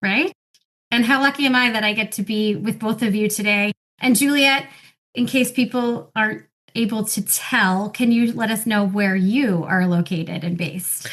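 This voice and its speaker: A woman's voice: medium pitch, normal speed, a bit bright. A woman hosting a podcast in an upbeat, encouraging way as she introduces and questions her guests. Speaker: podcast host.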